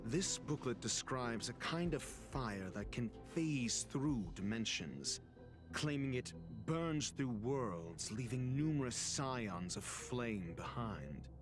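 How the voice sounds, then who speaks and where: A composed, deliberate detective, music behind. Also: male voice, medium pitch, animated. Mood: deductive, measured. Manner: deliberate, articulate, expository. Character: composed male detective reasoning out a mystery. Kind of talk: dramatic video game cutscene monologue. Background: background music.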